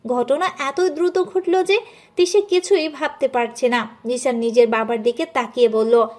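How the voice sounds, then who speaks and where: A woman narrator with a high voice. She delivers scripted storytelling, carrying the tale between the acted dialogue. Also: steady tone, normal speed, a little mellow.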